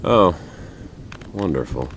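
An actor playing a wisecracking, laid-back ghost hunter in a video game, with dry sarcasm. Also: male voice, low voice, expressive, takes it slowly, far from the mic. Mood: dry, sarcastic. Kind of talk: video game cutscene acting. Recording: roomy, noisy game ambience.

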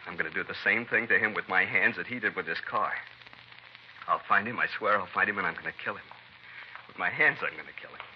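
A distraught, exhausted American husband, played by a male actor talks rapidly and bitterly, repeating himself in agitation. It is 1950s radio drama, slightly muffled with faint hiss. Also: low-pitched, lightly acted. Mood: grim, vengeful.

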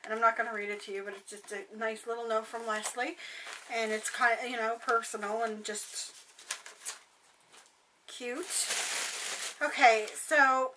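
Hoarse young woman chatting on a webcam. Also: a little high, fairly even intonation, unhurried, long pauses, distant mic, background noise. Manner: chatty and rambling, many fillers. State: hoarse, voice going. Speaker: beauty vlogger. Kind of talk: casual haul chat to camera. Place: bedroom, indoors. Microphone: webcam at desk distance.

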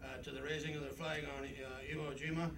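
A man's voice: a little high, level tone, quick pace. Older man speaking in an echoey room. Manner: rambling, emphatic, frequent uh fillers. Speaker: guest speaker. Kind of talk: war memoir talk at a club meeting. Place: club meeting room, indoors. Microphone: podium mic, camera from across room. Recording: roomy, distant camcorder audio with noise.

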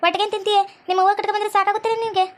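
A woman's voice: extremely high pitch, level tone, rapid-fire. A woman playing chatty, bickering village farm labourers in a cartoon skit, garrulous and colloquial, with a North Karnataka accent.

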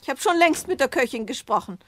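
A woman's voice: high-pitched, expressive, quick pace. An actress playing a domineering, bedridden old mother in a radio play, complaining and giving curt orders. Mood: querulous, suspicious. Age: older. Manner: querulous, commanding, curt.

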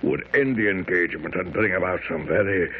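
A man's voice: deep voice, animated, a little fast, gravelly, a little hiss or hum. An actor playing a proud, anxious European king in a radio drama, speaking formally and emphatically. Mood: agitated. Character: proud, anxious young European king confessing youthful folly. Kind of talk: 1970s radio drama acting. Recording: muffled, tinny old radio broadcast.

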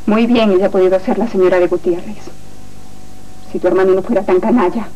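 An actress playing a wounded, sarcastic young wife in a telenovela, with deliberate, ironic delivery. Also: female voice, mid-range voice, fairly even intonation, mellow, lo-fi sound, close to an everyday voice. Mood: bitter, sarcastic. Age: young adult. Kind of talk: telenovela acting. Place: indoor TV studio set. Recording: noisy old TV archive tape.

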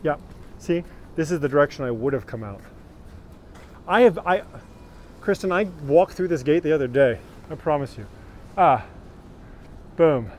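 A man's voice: on the high side, animated, normal speed, pauses often, a little mellow. A man in his thirties chatting his way through a walking tour, greeting viewers by name as he walks outdoors and films on a phone held at arm's length. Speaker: tour guide. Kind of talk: live-streamed walking tour. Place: outdoors on a city street. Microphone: phone held at arm's length while walking. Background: street traffic.

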